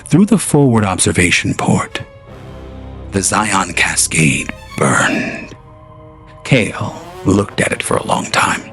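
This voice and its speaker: A man narrates a scripted audio drama with slow, deliberate solemnity. His voice is low and gravelly, and soft music plays faintly beneath him. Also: big pitch swings.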